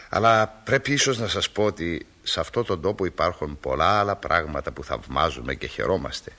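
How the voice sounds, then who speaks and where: A man playing a wry, kindly small-town father in a radio play, folksy and gently humorous. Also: low voice, vocal fry, breathy edge, exaggerated voice. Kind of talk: Greek radio play acting.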